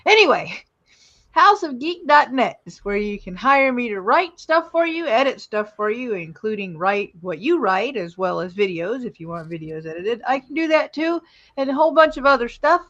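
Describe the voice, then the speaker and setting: A woman hosts a livestream in a loose, rambling way. Her voice is fairly high and the microphone sounds a little muffled. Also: sing-song, moderate pace, a bit bright. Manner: informal, rambling. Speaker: live show host. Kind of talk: casual livestream hosting and plugging.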